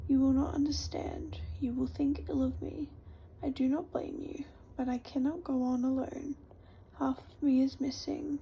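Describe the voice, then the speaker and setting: A woman's voice: high voice, flat delivery, normal speed, creaky, dark tone, a little hiss or hum, rough recording. A woman whispering the lines of a grieving, exhausted young woman in a storybook reading. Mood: sorrowful. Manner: whispered, sorrowful.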